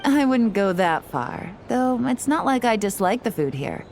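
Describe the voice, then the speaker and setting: A woman's voice: mid-range voice, big pitch swings, a little slow, a little mellow, slight background noise. A woman playing a shrewd government official in a video game, wry, blunt and guarded. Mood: wry, wary. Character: shrewd, dry-witted female government official.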